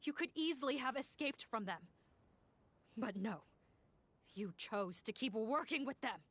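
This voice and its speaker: A woman's voice: on the high side, animated, a little slow, fairly bright tone. A woman playing a stern accuser in a video game, speaking with firm, challenging reproach. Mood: reproachful. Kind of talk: dramatic game voice acting.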